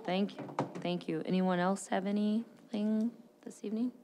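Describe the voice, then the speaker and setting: A woman chairing a school board meeting, brisk and procedural, on a desk microphone in a boardroom. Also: medium pitch, fairly even intonation, dark tone, a little hiss or hum.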